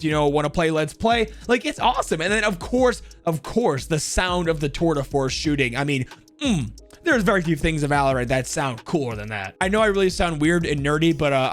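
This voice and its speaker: A young man hosting a gaming video, giving excitable, informal and enthusiastic ranking commentary. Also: high voice.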